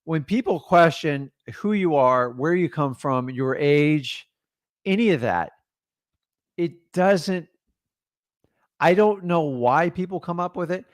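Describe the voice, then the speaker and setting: A man's voice: fairly high pitch, lively intonation, slow pace, long pauses, no echo. Middle aged guy pumping people up about sales, direct and emphatic, dead dry room. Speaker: real estate coach. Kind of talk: energetic coaching talk.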